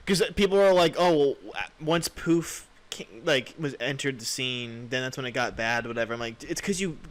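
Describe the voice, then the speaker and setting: A man's voice: mid-range voice, moderate pace. Blunt, opinionated young American man chatting. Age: young adult. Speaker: podcast co-host. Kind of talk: casual chat.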